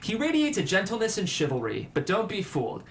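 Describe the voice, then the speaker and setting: A man's voice: high-pitched, huge pitch swings, brisk, slight background noise. A man hosting and narrating a screenplay table read, presenting the script to an audience. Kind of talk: show presenting and scripted stage-direction narration.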